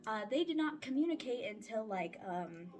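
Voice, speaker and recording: Casting: woman, twenties, higher-pitched voice, informal vlogger delivery, frank, slangy and wry, music underneath. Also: big pitch swings, moderate pace, a little mellow. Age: 20s. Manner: candid, slangy, playfully sarcastic. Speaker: vlogger and voice-acting audio creator. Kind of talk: casual chatty life-update vlog. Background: background music.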